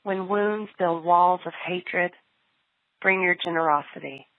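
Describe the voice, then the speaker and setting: A woman's voice: fairly low pitch, a little slow, dry recording. A woman leading a guided spoken prayer. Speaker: prayer leader. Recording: thin phone-like sound.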